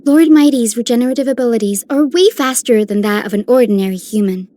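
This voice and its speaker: A woman playing a gentle, caring young healer in a video game, sweet and polite. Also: fairly high pitch, quick pace, no room sound, lightly acted.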